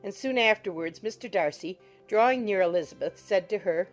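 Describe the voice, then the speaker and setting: A woman's voice: medium pitch, a little fast. A woman reading scripted literary narration as an audiobook narrator. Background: soft music.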